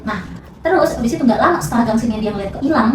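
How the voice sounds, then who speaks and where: A woman's voice: fairly high pitch, expressive, very fast, reverberant, distant mic, a crowd in the background. A young woman, a student casually telling a spooky story on a podcast, with lots of fillers and restarts. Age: young adult. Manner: chatty, many fillers and restarts.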